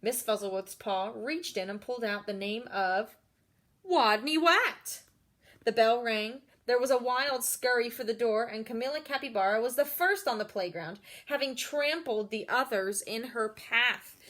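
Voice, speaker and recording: A woman with a fairly high voice reads a picture book aloud at a slow pace. Her delivery is expressive, with asides and questions aimed at children. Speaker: storybook reader. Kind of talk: children's read-aloud with asides to young listeners.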